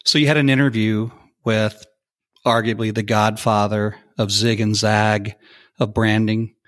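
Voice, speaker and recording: A man hosting an interview, asking questions in a measured, appreciative way. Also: lowish voice, flat delivery. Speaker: podcast interviewer.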